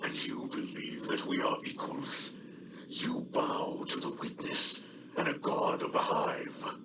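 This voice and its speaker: An actor playing a stern, grieving alien leader in a video game cutscene, grave and formal with a breathy growl. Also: male voice, takes it slowly, far from the mic, noisy background, natural voice. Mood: accusing.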